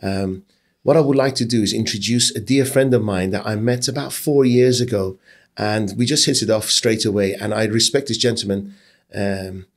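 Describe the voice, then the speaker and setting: A man's voice: lowish voice, normal speed. A man in his fifties hosting a podcast, chatting casually and teasing his guest with jokes. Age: 50s. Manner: jokey, teasing, warm banter. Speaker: podcast host. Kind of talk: casual interview chat.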